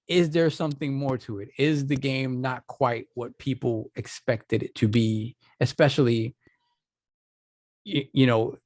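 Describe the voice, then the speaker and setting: A tired man with a gravelly, worn-out voice, co-hosting a casual gaming podcast and thinking aloud with many restarts. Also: medium pitch, darkish tone, no echo. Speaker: podcast co-host.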